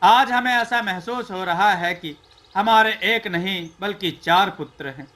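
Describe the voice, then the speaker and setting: A man voicing a dignified, fatherly storybook king who speaks in a stately, deliberate way. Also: sits high, sharp tone. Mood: tender. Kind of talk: storybook character dialogue.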